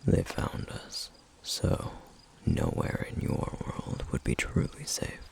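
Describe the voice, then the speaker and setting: A man playing a protective, duty-bound flame demon in an ASMR roleplay, speaking in a hushed, gravelly, halting and intense way. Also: extremely low pitch, wildly swooping pitch, full character voice. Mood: apologetic, grave. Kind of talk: ASMR roleplay, scripted character acting.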